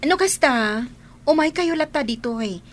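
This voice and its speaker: A woman's voice: sits high, lively intonation, moderate pace. A woman playing a friendly young market customer in an audio drama, with an Ilocano Filipino accent. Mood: friendly, upbeat.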